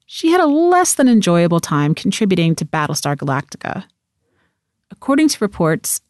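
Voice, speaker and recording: A woman's voice: lowish voice, a little mellow, no echo, clean studio sound. A woman reading scripted documentary narration as the narrator.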